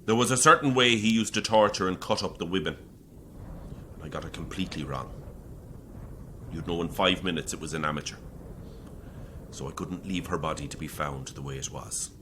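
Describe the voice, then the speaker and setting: Man acting a deep-voiced, menacing Irish priest. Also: noisy background, close to an everyday voice. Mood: cold, boastful. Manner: deliberate, sardonic, conversational confession. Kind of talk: audio horror drama acting.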